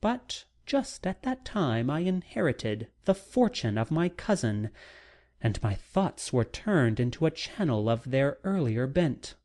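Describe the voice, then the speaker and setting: A man narrating a classic novel as a dramatic audiobook, in a measured, deliberate manner with frequent pauses. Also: medium pitch, very animated, a little mellow.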